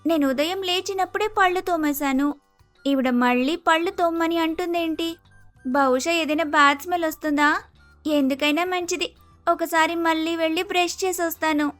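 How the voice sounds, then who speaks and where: A woman's voice: very high voice, fairly even intonation, a little fast, fairly bright tone, faint music behind. A woman playing a polite, naive young daughter-in-law with an Andhra Telugu accent in a story cartoon, hesitant and easily confused. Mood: puzzled. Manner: deferential, hesitant, innocent.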